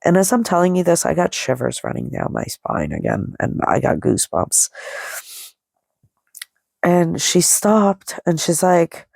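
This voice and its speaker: A middle-aged woman with a congested, nasal voice and plenty of vocal fry tells a personal story in a casual, meandering way. She takes her time, speaking slowly as she quotes people along the way. The sound is close and dry. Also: lowish voice, huge pitch swings, a little mellow, dry recording. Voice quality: nasal, stuffy. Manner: rambling, conversational, quoting dialogue as she goes. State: congested with a head cold. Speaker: podcast host.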